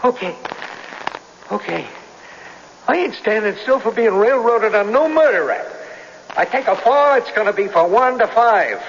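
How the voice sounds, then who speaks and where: An actor playing a jittery, defensive young hipster crook who protests in excitable beatnik slang, in 1970s radio drama style. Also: male voice, high voice, a little slow, pauses often. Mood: resigned, bitter. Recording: dull, noisy old radio recording, reverberant hall.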